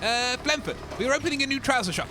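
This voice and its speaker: An actor playing an excitable, fame-hungry radio DJ with a theatrical, grandiose way of talking in a comic audio drama. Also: male voice, very high voice, fast. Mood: flippant. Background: music bed.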